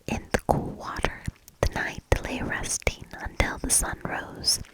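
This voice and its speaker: A woman reading a fairy tale aloud in a breathy, hushed ASMR whisper with a measured delivery. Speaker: ASMR storybook reader.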